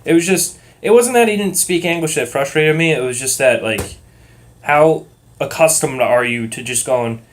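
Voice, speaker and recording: A young man with a muddled Massachusetts accent, talking in a calm, rhythmic way and rambling casually with lots of ums and uhs. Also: a little high, moderate pace. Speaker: vlogger. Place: at work.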